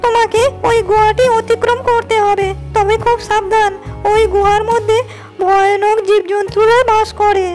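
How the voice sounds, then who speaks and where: A woman voicing a kind, wise fairy in a cartoon, speaking in a gentle, reassuring way. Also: very high voice, flat delivery, moderate pace, soft background music.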